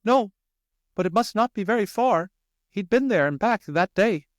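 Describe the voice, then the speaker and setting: A careful, modest mother field mouse from a storybook, played by a man, with high pitch and lilting, very mobile intonation. She is polite and a little anxious, heard close and dry. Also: lively intonation, moderate pace, dry recording. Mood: careful, tentative. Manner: careful, tentative. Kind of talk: audiobook character voice.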